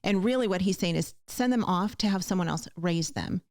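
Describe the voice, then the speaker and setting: A woman's voice: on the low side, brisk, a little mellow, dry recording, clean studio sound. A woman hosting a conversational show, speaking in an earnest, emphatic way as she encourages her listeners directly. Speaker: podcast host.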